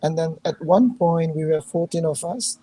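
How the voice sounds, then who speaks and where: Hoarse woman from Manipur on a call. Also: low voice, dark tone, low-quality recording. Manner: halting, earnest, many fillers. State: hoarse, losing her voice. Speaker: guest, charity founder. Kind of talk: casual video call conversation.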